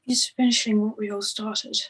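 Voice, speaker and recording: A woman acting a shaken, grieving young crime witness in a screenplay table read, with halting delivery. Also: medium pitch, flat delivery, a bit bright, slightly rough, rough recording. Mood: urgent, pleading.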